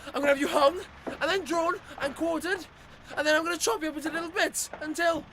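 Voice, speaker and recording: A man's voice: extremely high pitch, fairly even intonation, fast, bright, forceful tone, slight background noise, exaggerated voice. A man playing an indignant, flustered, spluttering ordinary Englishman in a radio play. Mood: furious. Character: outraged, bewildered ordinary Englishman, easily flustered. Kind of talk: radio drama acting.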